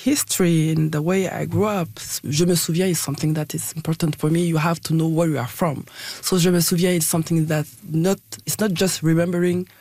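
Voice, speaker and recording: A woman's voice: low-pitched, fairly even intonation, a little fast, warm tone. A young woman from Niger, a Hausa speaker, telling her story in an interview in a thoughtful way, often restarting her phrases. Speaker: interview guest. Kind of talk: casual conversational storytelling.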